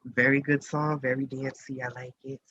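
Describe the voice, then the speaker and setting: A man's voice: mid-range voice, little pitch movement, moderate pace. A man hosting a radio show in a casual, chatty interview style. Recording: muffled lo-fi audio with background noise.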